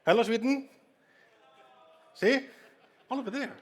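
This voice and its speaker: A man's voice: very high-pitched, a little slow, husky. A man from Iceland with an Icelandic accent, giving a playful, jokey conference talk and bantering with a live audience. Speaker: conference speaker. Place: conference hall stage.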